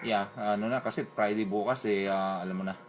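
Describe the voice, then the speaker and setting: A man with a Filipino accent talking casually as an amateur radio operator, in a hesitant, halting way. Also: fairly low pitch, fairly even intonation, noisy background. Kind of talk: casual two-way radio chat. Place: beside a radio set, recording it on a phone.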